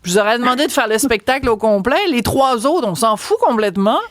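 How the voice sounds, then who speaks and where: A woman's voice: fairly high pitch, lively intonation, no echo. Woman, Québécois accent, radio host, teasing chatty delivery, dry close sound. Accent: Québécois French. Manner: chatty, teasing, quick to laugh. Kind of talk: casual radio interview.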